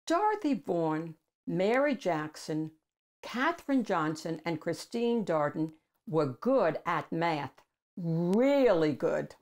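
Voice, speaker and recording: An older woman reads a picture book aloud as a host for children, with deliberate, emphatic phrasing. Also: lowish voice, sing-song, a little mellow. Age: older, 60s or above. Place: small room at home with bookshelves.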